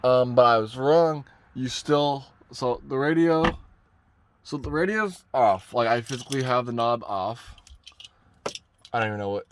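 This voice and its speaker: A man talks through a do-it-yourself vehicle tutorial in a casual, unscripted way. His mid-pitched voice wanders as he thinks out loud. Faint noise from the truck cab sits behind him. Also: measured pace. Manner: informal, rambling, thinking aloud. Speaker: vlogger presenting a truck radio install. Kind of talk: casual hands-on tutorial talk. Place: inside a parked pickup truck cab.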